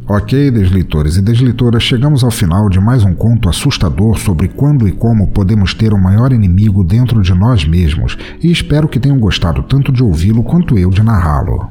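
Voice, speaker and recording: Low-voiced dramatic male storyteller. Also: brisk, hardly any pauses, faint music behind. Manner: theatrical, expressive delivery. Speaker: podcast host and narrator. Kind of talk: dramatic first-person story narration.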